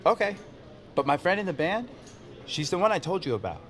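A man's voice: sits high, very animated, brisk, fairly bright tone, faint music behind. An actor playing a friendly, slightly awkward male coworker, casual, hesitant and upbeat in audio drama dialogue. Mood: friendly, eager.

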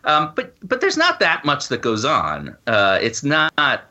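A man speaking as a guest author in a conversational interview, informal and halting, often breaking off to rephrase. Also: mid-range voice, wildly swooping pitch, sharp tone. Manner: halting, informal, frequent self-interruptions and "you know". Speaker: guest author and law professor.

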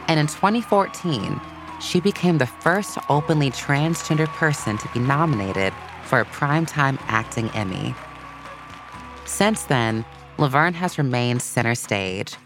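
Expressive narrator with a lively melodic range. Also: medium pitch. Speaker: podcast narrator. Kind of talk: scripted children's biography storytelling narration. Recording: soft music bed behind voice. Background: background music.

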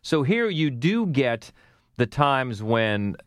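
A man's voice: medium pitch, sing-song, slow, smooth, no echo. Man co-hosting movie talk, dry sound. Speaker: film review podcast co-host. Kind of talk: casual conversational movie criticism.

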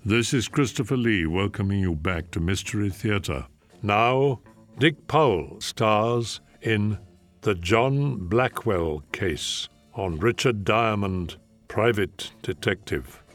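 A man presenting a classic radio anthology as host, in a measured, deliberate scripted style. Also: fairly low pitch, animated, soft background music.

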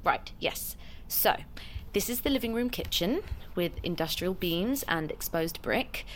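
A fairly low-voiced, self-effacing woman in an audio drama. She is hesitant and flustered, often correcting herself and eager to please. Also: animated, unhurried, a little hiss or hum. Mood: nervously formal. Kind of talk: naturalistic audio drama acting.

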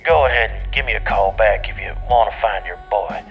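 A man's voice: lowish voice, brisk, close to an everyday voice. A man playing a folksy, plainspoken older outdoorsman leaving a voicemail in an audio drama. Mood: earnest, neighborly. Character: folksy older outdoorsman offering his tracking services. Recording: muffled radio-like call, music behind.

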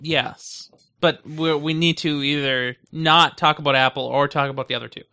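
A man co-hosting a casual podcast, speaking in a dry, matter-of-fact, gently teasing way. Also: fairly high pitch, fairly even intonation, normal speed, smooth. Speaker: podcast co-host.

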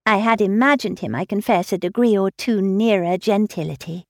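A woman voicing a self-assured, snobbish young gentlewoman who lectures in a crisp, condescending way, in a storybook reading. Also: mid-range voice, moderate pace, no echo, studio quality, close to an everyday voice. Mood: disdainful.

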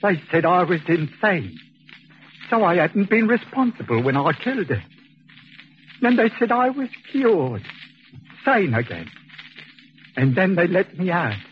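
An actor playing an insane, fanatical avenger who declaims ominously in 1940s radio suspense style. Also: male voice, high-pitched, sing-song, a little slow, pauses often. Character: deranged former asylum inmate, fanatical self-appointed angel of death. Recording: muffled old radio, faint hiss.

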